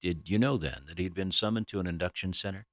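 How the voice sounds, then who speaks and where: An actress playing a stern, businesslike FBI agent in an audio drama, questioning in a formal, clipped manner. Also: female voice, very deep voice, quick pace, no echo. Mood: probing, official.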